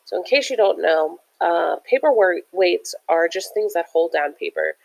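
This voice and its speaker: A woman's voice: lowish voice, animated, a little slow, vocal fry, muffled recording. A woman reading a picture book aloud like a teacher, with chatty, encouraging asides that explain things simply to young listeners. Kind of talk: animated children's read-aloud with chatty asides.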